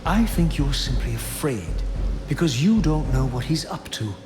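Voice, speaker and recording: A man's voice: mid-range voice, very animated, lightly acted. Man acting a bitter, echoing undead wraith. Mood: taunting. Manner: formal, theatrical, measured and biting. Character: bitter undead male wraith, proud, sardonic, brooding. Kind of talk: dramatic video game voice acting and narration. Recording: echoey, with music behind.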